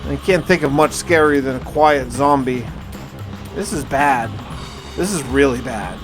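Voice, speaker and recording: A man's voice: medium pitch, unhurried, over music. A man narrating his own survival game playthrough in a conversational, journal-like storytelling way, with light roleplay. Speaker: gaming video creator narrating a survival challenge.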